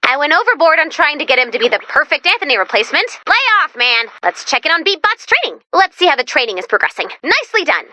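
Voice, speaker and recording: A woman voicing an excitable, quirky young female robot guide in a video game, chatty and expressive with dramatic, wry asides. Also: very high voice, dry recording, full character voice. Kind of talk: animated video game voice acting.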